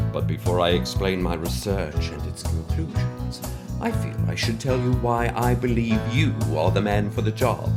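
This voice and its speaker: A man playing a mysterious wealthy benefactor in an audio drama, with a pompous, florid, formal manner and theatrical pauses. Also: mid-range voice, lightly acted. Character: mysterious wealthy male benefactor, pompous, grandiose, slyly menacing. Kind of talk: scripted audio drama monologue.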